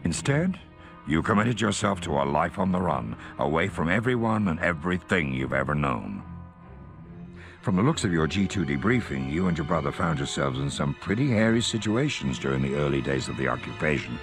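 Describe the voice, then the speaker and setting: An actor playing a courteous middle-aged intelligence colonel, giving a formal, measured briefing in a game cutscene. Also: male voice, a bass voice, very animated, over music, full character voice. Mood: formal, frank. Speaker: intelligence officer briefing an agent.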